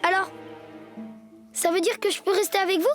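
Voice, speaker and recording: A woman voicing a plucky, impulsive young jungle boy in a cartoon dub, youthful and expressive. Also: extremely high pitch, a little fast, music in the background. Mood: relieved, questioning. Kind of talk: animated cartoon dub acting.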